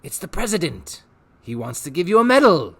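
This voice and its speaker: A man's voice: fairly high pitch, sing-song, gravelly, breathy edge, voice pushed up, full character voice. A man voicing a pushy, wisecracking male trickster who hollers and talks like a huckster in a storybook reading. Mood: pushy, brash. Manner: loud, fast-talking huckster, hollers.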